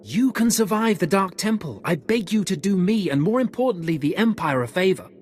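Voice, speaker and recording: Earnest Imperial expedition organizer. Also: male voice, sits high, lively intonation, brisk. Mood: urgent, persuasive. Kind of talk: video game cutscene acting.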